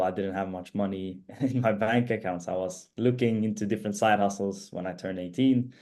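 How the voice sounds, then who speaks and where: A man in his twenties from Sweden, chatting casually as an interview guest, relaxed and rambling with lots of fillers. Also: low-pitched. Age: 20s. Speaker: guest, student and page owner.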